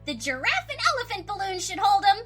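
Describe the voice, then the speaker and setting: A woman voicing a bubbly, playful, excitable young female pony in a cartoon audio drama, with a chirpy, theatrical manner. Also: very high-pitched, fast talker, over music. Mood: cheerful.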